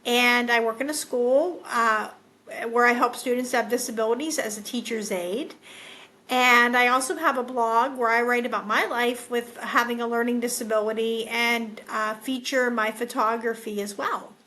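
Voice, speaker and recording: Guest: American woman, higher bright voice, friendly, plain-spoken. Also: fairly even intonation, moderate pace. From United States. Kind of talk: casual video-call interview. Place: at home. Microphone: video call.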